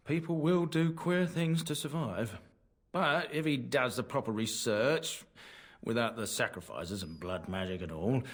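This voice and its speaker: An earnest, humble merchant in a fantasy game. His voice sits at an ordinary male pitch with a rough, husky edge. He talks in a folksy, slightly rueful way. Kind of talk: video game dialogue.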